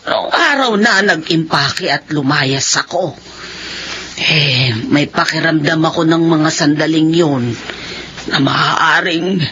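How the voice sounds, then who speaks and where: An actress with a Filipino accent playing an emotional middle-aged long-lost sister in a radio drama. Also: female voice, on the low side, fairly bright tone. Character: long-lost older sister, tearful, runaway in youth. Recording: muffled, noisy radio drama recording.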